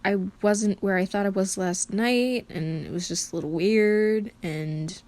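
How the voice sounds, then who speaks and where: A woman plays an uneasy man in a fan-fiction story. He talks haltingly and awkwardly, unsure of himself. The pace is slow and the pitch is middling for the reader. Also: a little mellow, close to an everyday voice. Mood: uneasy, confused. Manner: hesitant, mumbling awkwardly. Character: uneasy, hesitant man searching for his partner.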